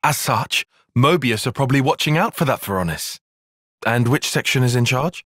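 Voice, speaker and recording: Brainy young British soldier, earnest, cautious, articulate, expressive pitch, close dry studio sound, video game cutscene. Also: male voice, normal speed, no room sound. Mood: measured, analytical. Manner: precise, formal, articulate.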